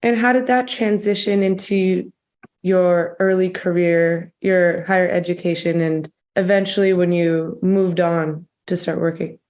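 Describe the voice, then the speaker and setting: A woman's voice: fairly low pitch, fairly even intonation, measured pace, darkish tone, muffled recording. A young woman interviews a podcast guest. She sounds curious and encouraging, asking short follow-up questions that invite the guest to keep talking. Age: young adult.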